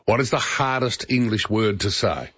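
Chatty radio host. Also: male voice, fairly low pitch, normal speed, noisy background. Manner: chatty, with fillers. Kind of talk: casual radio talk. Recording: slightly dull broadcast sound.

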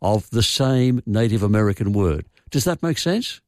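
A man's voice: lowish voice, lively intonation, normal speed, a little mellow, dry recording. An older Australian man on a radio phone-in, explaining word origins in a wry, opinionated way. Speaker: language expert guest. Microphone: studio microphone. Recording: dry studio sound.

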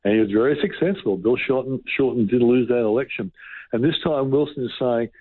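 A man explaining the markets emphatically with worked examples, heard over a narrow, phone-like line. His voice is mid-pitched and dry. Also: brisk, slightly rough, no echo. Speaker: finance commentator.

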